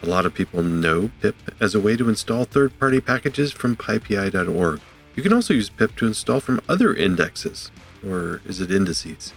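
A man's voice: lowish voice, a bit bright. A man hosting a podcast, curious and conversational as he introduces the episode and asks questions. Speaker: podcast host.

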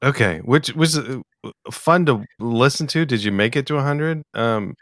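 A man from the US Pacific Northwest with an American accent, hosting a casual podcast interview in a halting, rambling way. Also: medium pitch, expressive, fairly bright tone, no room sound, clean studio sound. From US Pacific Northwest, eastern Washington. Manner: halting, rambling, hesitant delivery.

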